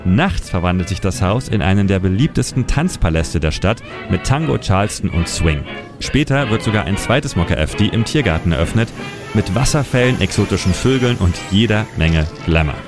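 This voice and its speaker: A man's voice: deep voice, animated, normal speed, over music. A man presenting as a city guide, narrating a scripted historical story for radio.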